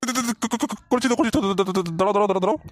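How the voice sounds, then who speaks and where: A man's voice: sits high, very fast, no echo, clean studio sound, lightly acted. A man voicing a henpecked, grumbling old philandering husband in an amateur comic cartoon, repeating himself and cursing. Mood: pleading, flustered. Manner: stammering, foul-mouthed, repeats himself.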